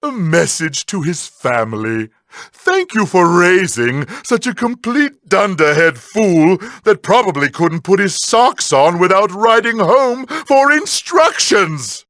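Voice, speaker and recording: An actor playing a sardonic evil mad scientist villain in a game, with pompous, mocking, drawn-out theatrical delivery. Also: male voice, sits high, big pitch swings, fairly bright tone, no echo, clean studio sound, heavily acted. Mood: scornful. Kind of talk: scripted comedic in-character monologue.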